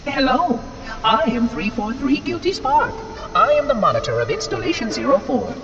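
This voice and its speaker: An actor playing a chirpy, eccentric robotic monitor with a fussy, precise, politely pompous manner in a video game. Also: male voice, very high voice, big pitch swings, normal speed, lo-fi sound, exaggerated voice. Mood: cheerful, polite. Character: prim, chirpy, eccentric male robotic monitor of an ancient installation. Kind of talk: video game cinematic acting. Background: loud cinematic music.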